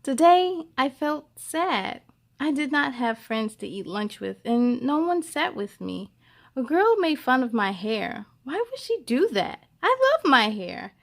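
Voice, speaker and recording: Woman reading as an earnest, high-voiced little schoolgirl. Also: big pitch swings, measured pace, gravelly, full character voice. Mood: nervous, sad. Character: shy, nervous, earnest schoolgirl narrating her storybook life.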